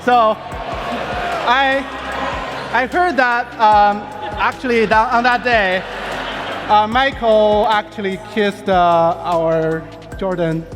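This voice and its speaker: A man presenting a software demo live on stage, playful and joking with the audience. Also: sits high, slow pace, pauses often. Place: large event hall on stage. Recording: hall reverb with live crowd. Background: music and audience reactions.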